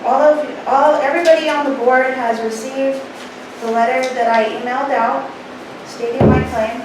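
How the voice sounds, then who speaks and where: A middle-aged woman delivering a prepared complaint to a board in a formal, deliberate, emphatic way. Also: high-pitched, fairly even intonation. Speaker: former clinic manager giving public comment. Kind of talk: prepared speech of complaint at a meeting. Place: public meeting room, indoors. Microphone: distant room microphone. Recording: very echoey, noisy room, distant mic.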